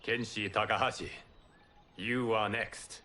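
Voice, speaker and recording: A man playing a solemn, noble lord who presides over a martial tournament in a game, speaking formally and with measured command. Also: lowish voice, steady tone, close to an everyday voice. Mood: solemn, authoritative. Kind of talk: video game cutscene acting. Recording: loud cinematic music behind.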